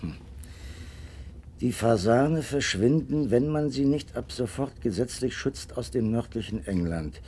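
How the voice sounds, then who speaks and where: An actor playing an earnest, puzzled companion to a detective in a radio drama, questioning and deliberate. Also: male voice, medium pitch, expressive, a little mellow, a little hiss or hum. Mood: puzzled, curious.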